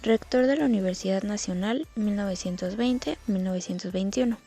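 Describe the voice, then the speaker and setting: A woman's voice: medium pitch, moderate pace, warm tone, faint music behind. A woman reading scripted educational narration from a text, as a student presenter.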